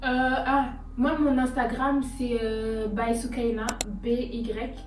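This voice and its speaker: A woman's voice: on the high side, flat delivery, slow, distant mic. A woman whose first language is Bambara, chatting casually and encouraging viewers, with many hesitant fillers. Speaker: guest content creator. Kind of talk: casual chat. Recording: echoey room, off-mic, music behind.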